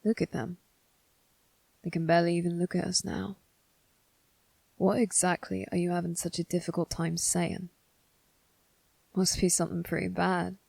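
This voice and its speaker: A woman's voice: on the low side, flat delivery, normal speed, creaky, long pauses, a little mellow, breathy edge, no echo, heavily acted. A woman voicing a sly, teasing demon servant with slangy, informal speech in an ASMR roleplay. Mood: teasing, probing.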